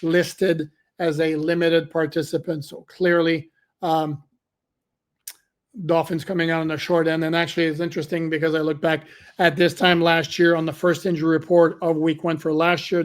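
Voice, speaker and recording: A man's voice: fairly high pitch, flat delivery, moderate pace. A middle-aged man hosting a solo sports podcast, talking conversationally with fillers and self-corrections, his voice cracking at times. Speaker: podcast host.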